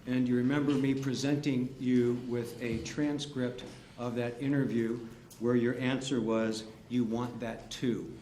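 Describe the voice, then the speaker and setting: Male attorney, mid-pitch, deliberate leading questions, roomy courtroom, light hiss. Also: level tone, warm tone. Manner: methodical, leading yes-or-no questions. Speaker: defense attorney. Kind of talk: courtroom cross-examination. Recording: echoey courtroom, faint hiss.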